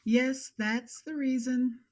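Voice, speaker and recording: A woman playing a guarded professional psychologist testifying in a courtroom drama, formal and measured. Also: high voice, steady tone. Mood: guarded, uneasy. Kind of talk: audio drama acting.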